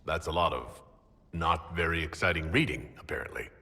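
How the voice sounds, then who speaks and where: A man's voice: very deep voice, brisk, bright, forceful tone, heavily acted. A man playing a composed, skeptical commander hero in a fantasy game, dry and questioning. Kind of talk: fantasy game dialogue acting.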